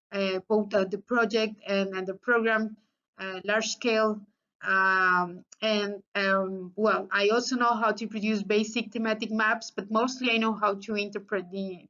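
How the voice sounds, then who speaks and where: Colombian woman, thirties, Spanish native; bright tense voice, hesitant delivery with fillers; dull lo-fi sound. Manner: hesitant, many fillers and restarts. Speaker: student introducing herself. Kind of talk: casual self-introduction. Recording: slightly muffled low-fidelity recording.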